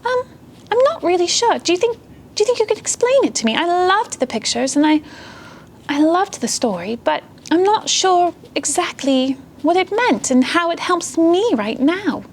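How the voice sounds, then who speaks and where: A woman's voice: very high-pitched, big pitch swings, moderate pace, a little hiss or hum, pitched above the performer's own voice, exaggerated voice. A woman voicing an earnest, curious young female puppy puppet in a children's storytime, with a wondering, childlike manner. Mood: puzzled, earnest.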